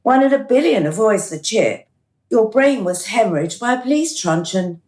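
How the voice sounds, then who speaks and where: An actress as a female bureaucrat of a dystopian afterlife, in radio drama style. She is cool, smug and officious, with a mocking edge. Her mid-pitched voice rings in a roomy, echoing space. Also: lively intonation, normal speed, a little mellow, natural voice. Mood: coldly officious.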